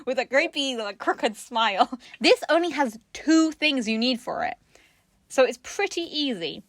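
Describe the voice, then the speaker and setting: High-pitched, animated, giggly woman. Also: unhurried, dry recording. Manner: giggly, playfully sarcastic. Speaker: co-host of a craft show. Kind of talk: casual comedic chat while presenting puppet crafts.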